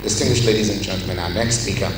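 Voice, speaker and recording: Echoey low-voiced male announcer. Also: fairly even intonation, rapid-fire. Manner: formal, ceremonious, stumbles over words while reading. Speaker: conference master of ceremonies. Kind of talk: formal speaker introduction, partly read out. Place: large conference hall. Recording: echoey hall, distant voice, music bleed.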